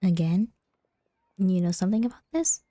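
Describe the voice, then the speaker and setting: A woman's voice: lowish voice, normal speed, dark tone. A woman streaming a game, casually reading along and reacting to it.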